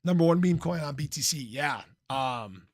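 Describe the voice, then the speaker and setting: A man hosting a casual livestream in an informal, playful way, with a slightly hoarse voice and frequent throat clearing. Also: fairly high pitch, expressive, moderate pace, dry recording, clean studio sound. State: slightly hoarse, losing his voice. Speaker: livestream host, crypto commentator. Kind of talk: casual livestream chat, reading and answering viewer comments.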